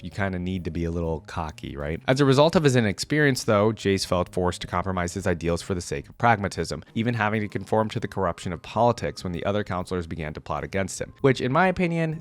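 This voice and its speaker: A man doing casual reaction commentary, reading aloud and adding informal asides as he talks directly to viewers. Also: on the low side. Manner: informal, addresses viewers, checks in with "right?". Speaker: video creator reacting to game lore.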